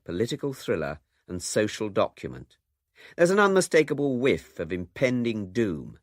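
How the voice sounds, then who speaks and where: A man's voice: mid-range voice, no echo, clean studio sound. A man presenting a documentary in scripted narration.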